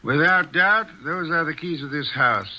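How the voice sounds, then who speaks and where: An actor playing a portly, fastidious male detective in a vintage radio drama, precise and pompous. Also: a little high, expressive. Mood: dry, deductive. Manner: precise, pompous, coolly logical. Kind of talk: 1940s radio acting. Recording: dull lo-fi old radio recording.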